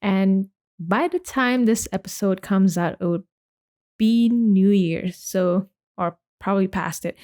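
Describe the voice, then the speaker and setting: A woman's voice: medium pitch, slow pace, a little mellow. Casting: young woman from Indonesia, podcast host, chatty and rambling, casual solo talk. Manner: chatty, rambling, with many likes and restarts.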